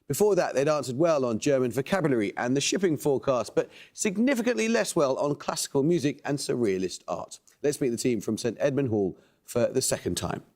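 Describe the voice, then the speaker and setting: Man, quiz host, measured and precise, mid-pitched voice, formal reading. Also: animated, a little mellow.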